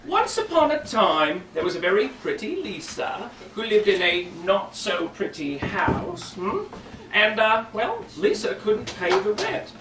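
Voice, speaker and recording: A bumbling, chatty show presenter, acted by a man tells children a comic story as a ventriloquist, with halting, flustered asides. The sound is distant and echoey, with clear background noise. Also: high-pitched, wildly swooping pitch, exaggerated voice. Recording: echoey, noisy room, distant microphone.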